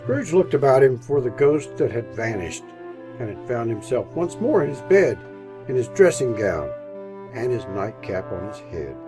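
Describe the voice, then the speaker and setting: A man narrating a scripted story in old-time radio style, with measured, theatrical phrasing. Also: mid-range voice, very animated. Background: instrumental music.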